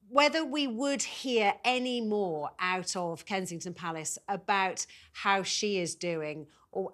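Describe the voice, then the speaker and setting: A British woman with a medium-pitched voice, working as a broadcast host. She is chatty and discursive, building long conversational points as she interviews. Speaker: news programme host.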